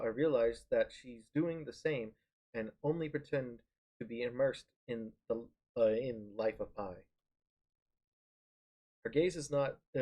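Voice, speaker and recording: A man reading visual novel narration aloud in a live stream, halting and correcting himself as he goes. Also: mid-range voice, warm tone, highs cut off. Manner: halting, stumbles and corrects words. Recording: music behind the voice.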